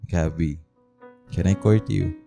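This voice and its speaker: A man acting an attentive, caring young suitor with a gentle manner in a radio romance drama. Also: low voice, steady tone, slow pace, vocal fry, music in the background, heavily acted. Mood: tender.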